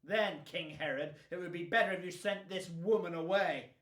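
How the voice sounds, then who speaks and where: An actor playing a fearless, righteous prophet in a biblical radio drama, declaiming like a preacher. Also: male voice, high-pitched, moderate pace, a bit bright, reverberant. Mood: defiant.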